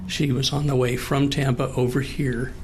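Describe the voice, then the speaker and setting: An American man in his late sixties speaking in a halting, thoughtful, earnest way in a personal conversation. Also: medium pitch, level tone, a little hiss or hum. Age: 60s. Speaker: participant in a recorded one-on-one conversation.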